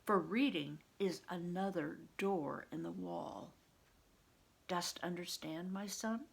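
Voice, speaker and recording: A woman voicing a kindly, patient male monk who teaches in archaic, measured phrasing for a storybook. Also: fairly low pitch, gravelly, slightly rough, exaggerated voice. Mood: gentle, encouraging.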